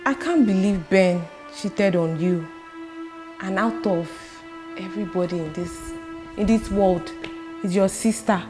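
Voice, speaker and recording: A woman acting a supportive friend in a film drama, with a consoling, measured manner. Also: mid-range voice, lightly acted. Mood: shocked. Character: supportive, shocked female friend consoling a betrayed wife. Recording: music behind dialogue.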